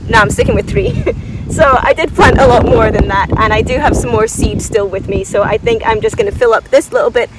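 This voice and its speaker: A woman's voice: fairly high pitch, lively intonation, a little fast. A woman giving a casual, unscripted garden tour to viewers, enthusiastic and informal, thinking aloud with a self-deprecating streak. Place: outdoors in a flower garden. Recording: distant mic, outdoor background noise.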